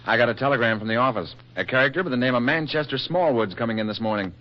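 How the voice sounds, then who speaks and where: An actor playing a breezy, wisecracking American magazine reporter in a 1940s radio drama, dry and teasing. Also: male voice, medium pitch, very fast, natural voice. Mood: brisk, breezy. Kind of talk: 1940s radio comedy-romance acting. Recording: muffled 1940s radio recording.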